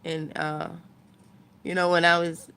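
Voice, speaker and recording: Slow-talking woman vlogger. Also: lowish voice, flat delivery, a bit bright, clear, resonant tone. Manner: unhurried, rambling, thinking aloud. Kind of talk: casual chat to viewers.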